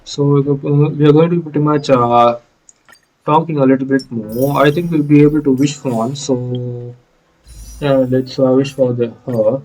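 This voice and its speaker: A man hosts a casual gameplay video as a non-native English speaker. His explanations are halting and wordy, in a medium-pitched voice, with faint music underneath. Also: steady tone, darkish tone, low-quality recording. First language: not English. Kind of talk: casual playthrough commentary. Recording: faint game music behind commentary.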